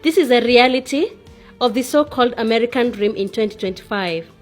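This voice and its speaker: Higher-pitched female narrator over music. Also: brisk. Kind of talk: scripted documentary narration.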